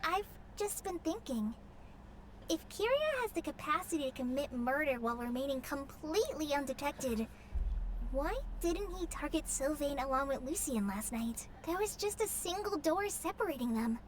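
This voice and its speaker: A woman's voice: high-pitched, lively intonation, normal speed, slight background noise. A woman voicing an earnest, empathetic and troubled young helper in a video game. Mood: pensive.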